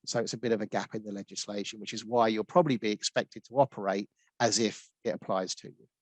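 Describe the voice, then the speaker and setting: A man with a Norwich accent, answering webinar questions as a guest expert with brisk explanations full of ums. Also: fairly low pitch, fairly even intonation, no echo. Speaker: guest expert on tax rules.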